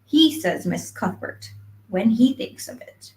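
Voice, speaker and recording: A stern, practical woman from a storybook, acted by a female reader. She sounds brisk and disapproving, with a slightly high voice and no sentiment at all. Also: expressive, a little hiss or hum. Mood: matter-of-fact. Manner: brisk, matter-of-fact, disapproving. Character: stern, practical, unsentimental woman raising an orphan. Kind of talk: acted audiobook dialogue.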